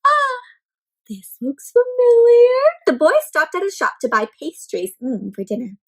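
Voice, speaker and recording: A woman reading a picture book aloud to young children, expressive and full of exclamations and questions to her listeners. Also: extremely high pitch, unhurried, faint music behind. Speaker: children's read-aloud storyteller.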